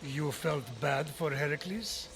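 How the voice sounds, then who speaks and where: A man playing a wise, formal immortal who narrates Greek myths in a measured, grave manner for a video game. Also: on the high side, noisy background. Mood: wry. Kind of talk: scripted narration. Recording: music behind the voice.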